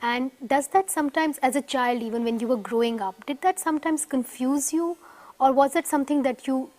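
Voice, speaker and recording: A woman's voice: sits high, fairly quick, a little mellow. A woman journalist from Pakistan, asking composed, probing interview questions.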